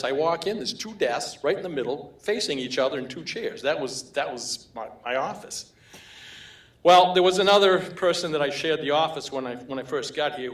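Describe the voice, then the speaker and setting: A man's voice: mid-range voice, fairly bright tone. An older man with a Maine accent giving a humorous award acceptance speech, telling anecdotes with chuckles. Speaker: award recipient. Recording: roomy hall echo.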